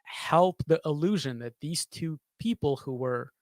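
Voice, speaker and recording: A man in his thirties giving thoughtful interview answers as a sound designer, halting and repeating phrases as he searches for words, close on a studio mic in a small home studio. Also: fairly high pitch, lively intonation, no echo. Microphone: studio microphone on a boom arm, close.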